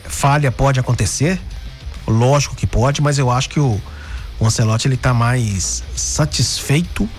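A man from Brazil hosting a sports radio show, talking through football news in a chatty way, often tagging his sentences with questions. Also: medium pitch. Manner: chatty, frequent "né?" tags and restarts.

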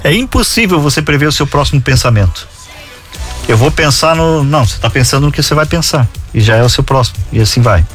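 A man's voice: on the high side, lively intonation, fast, faint music behind. A middle-aged man hosting a casual chat show, teasing his guests and reading out odd trivia. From southern Brazil. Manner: jokey, teasing, reads trivia aloud. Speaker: host.

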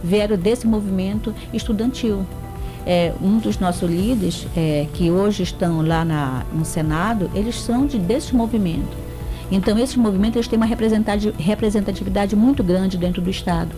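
A woman's voice: mid-range voice, normal speed, music in the background. A woman from Amapá, a campaign committee guest explaining her group in an interview, listing points one by one. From Amapá, Brazil. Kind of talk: interview answers.

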